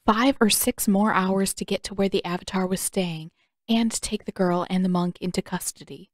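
A woman's voice: medium pitch, dry recording, clean studio sound. A woman reading scripted audiobook fiction as the narrator.

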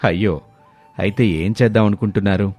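A man's voice: fairly low pitch, brisk, a little mellow, no echo, soft background music, natural voice. An actor playing a greedy, self-serving village headman in a cartoon moral story. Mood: sly, bargaining.

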